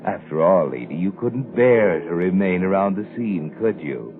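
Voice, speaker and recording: An actor playing a sardonic, fatalistic narrator who taunts the characters, in 1940s radio suspense style. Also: male voice, low-pitched, lively intonation, moderate pace, music in the background. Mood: mocking, ominous. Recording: muffled 1940s radio recording.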